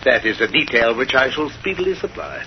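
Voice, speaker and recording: Male actor as brisk English detective, self-assured, dryly witty, lively swooping intonation, noisy old radio play recording. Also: mid-range voice, natural voice. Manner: incisive, dry, self-assured. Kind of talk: 1930s radio acting. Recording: muffled, hissy 1930s radio recording.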